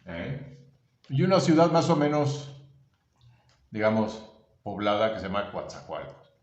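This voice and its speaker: A man's voice: on the low side, sing-song, unhurried, darkish tone. Man, Mexican accent, casual podcast talk, rambling delivery, roomy echo, dull lo-fi audio. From Veracruz, Mexico. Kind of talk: casual chat between old friends.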